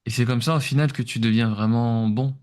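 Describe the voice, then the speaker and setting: A man's voice: medium pitch, fairly even intonation, brisk, darkish tone. A man with an accent from France giving informal, explanatory interview answers as a podcast guest, somewhat hesitant in his delivery. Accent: French from France.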